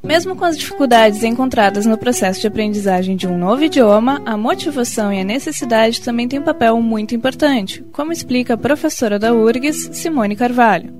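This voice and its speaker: A woman with a Brazilian Portuguese accent reading a scripted radio report in a measured newsreader style. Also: a little high, animated, music in the background. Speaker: reporter narrator. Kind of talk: scripted narration.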